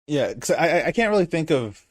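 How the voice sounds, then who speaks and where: A man's voice: a little high, big pitch swings, fast talker, dry recording, studio quality. A man co-hosting a podcast, a streamer who stammers and teases his friends. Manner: stammering, teasing. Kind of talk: casual chat on a live stream.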